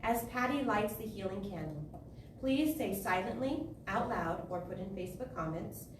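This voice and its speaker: Female service leader for spoken prayer: solemn, measured phrasing, roomy echo, noticeable background noise. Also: mid-range voice, expressive. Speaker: service leader reading candle lighting and prayer. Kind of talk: spoken liturgy and guided prayer. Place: live service in a spiritual center. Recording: echoey room, distant mic, clear background noise.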